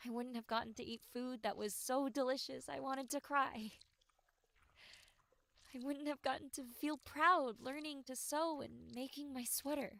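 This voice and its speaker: A woman playing an earnest, suffering girl in a horror visual novel, wistful and hopeful in a halting, dramatic way. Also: high voice, steady tone, creaky, fairly bright tone.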